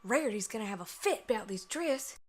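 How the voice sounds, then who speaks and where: A woman's voice: fairly high pitch, animated, a little fast, husky, soft background music. A woman voicing a plainspoken country farm mare with an American Southern accent and a folksy drawl. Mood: exasperated. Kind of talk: cartoon-style audio drama.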